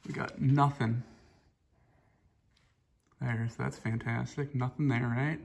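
Gravelly-voiced man chatting in a workshop. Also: mid-range voice, takes it slowly. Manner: relaxed, rambling, many "uh" fillers. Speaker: chainsaw hobbyist showing a filter. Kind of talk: casual hands-on show-and-tell. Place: indoor workshop or garage. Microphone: phone camera held close.

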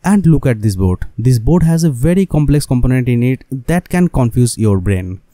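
Bright-voiced man narrating, dry close recording. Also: medium pitch, dry recording. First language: not English. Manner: non-native phrasing, addresses viewers as guys. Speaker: electronics hobbyist presenter. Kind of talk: scripted DIY tutorial narration.

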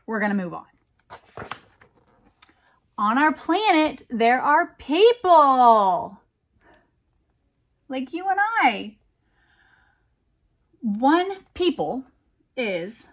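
Slow, high-voiced American woman reading to children. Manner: animated, emphatic, deliberate phrasing for young children. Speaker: children's storytime reader, teacher. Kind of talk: expressive picture-book read-aloud with chatty asides.

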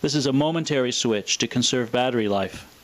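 A man presenting a scripted, explanatory product demonstration in a measured, matter-of-fact way. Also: medium pitch. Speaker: presenter of a vintage radio showcase video.

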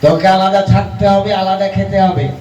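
A man voicing a gruff, scolding, scheming elder brother in a folk comedy puppet show. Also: sits high, steady tone, a little fast, music in the background, close to an everyday voice. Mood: angry scolding. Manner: scolding, blustering. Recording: roomy echo, distant microphone.

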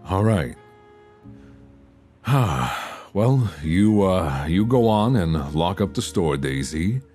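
A man playing a worried, tender mobster boyfriend in an intimate ASMR roleplay, halting and hesitant with sighs. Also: low voice, very animated, a little mellow, faint music behind, full character voice.

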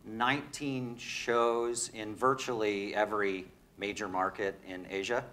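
A man in his forties, from New York, speaking as a panelist on a conference stage, brisk and matter-of-fact into a handheld microphone. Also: fairly low pitch, little pitch movement, bright, forceful tone. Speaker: panelist, concert promoter. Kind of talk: panel discussion.

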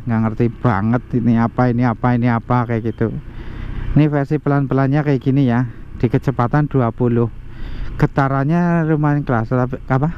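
A man chatting excitedly to viewers while riding a motorbike outdoors, recorded on a bike-mounted action camera. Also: a little high. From Central Java, Indonesia. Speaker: motovlogger. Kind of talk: casual ride-along motorcycle review. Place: outdoors on a moving motorbike, quiet residential streets. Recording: muffled action-camera audio with light road noise.

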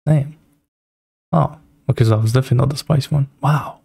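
A man's voice: mid-range voice, brisk, gravelly, dark tone, breathy edge, dry recording. A young man giving casual, slangy reaction commentary in a laid-back way as a video host. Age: young adult. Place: small indoor room at a computer.

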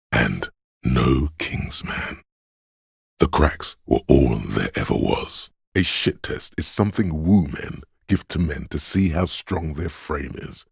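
An extremely low, husky voice, speaks as the grave inner voice of a battered detective's body in a video game. The delivery is measured and stoic, with a heavy gravelly rasp. Each line lands deliberately, in scripted second-person narration. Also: male voice, dry recording, studio quality, exaggerated voice. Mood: ominous. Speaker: voice actor.

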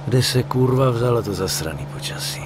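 An actor playing a young, gruff, world-weary war veteran in a video game, dry and blunt. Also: male voice, mid-range voice, animated, background noise, close to an everyday voice. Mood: dry, irritated. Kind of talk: video game narration and dialogue.

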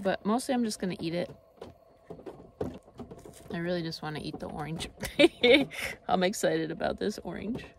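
A woman in her thirties chatting casually in a cooking vlog, relaxed and rambling, thinking aloud as she goes, filmed on a phone at arm's length inside a parked car. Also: medium pitch. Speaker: vlogger. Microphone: phone camera at arm's length. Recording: noisy car interior.

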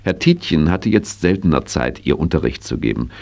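An actor narrating a children's horse story in a 1980s audio drama, telling it expressively. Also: male voice, rumbling low, very animated, moderate pace, gravelly, a little mellow, heavily acted. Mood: storytelling.

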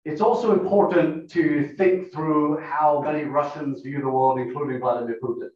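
A man with a funny non-American accent giving a measured lecture to a club meeting, pausing often with fillers. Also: fairly high pitch, fairly even intonation. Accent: non-American English. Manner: measured, explanatory, with frequent uh fillers. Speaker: guest speaker, professor. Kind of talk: lecture talk with slides. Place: meeting room. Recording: echoey room, distant, dull lo-fi.

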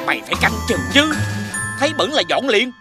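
Swooping, grumbling cartoon peasant uncle. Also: male voice, extremely high pitch, very animated, a little fast. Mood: bossy. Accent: southern Vietnamese. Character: gullible, grumbling middle-aged peasant uncle. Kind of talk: animated fairy-tale dubbing. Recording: loud music behind the voice.

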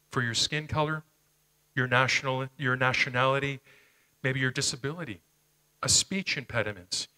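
A man's voice: mid-range voice, sharp tone, low-quality recording. A middle-aged man with a Canadian accent, whose first language was Slovenian, preaching a sermon on a church stage into a headset mic, telling personal stories earnestly with frequent hesitations. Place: church stage, medium-sized hall.

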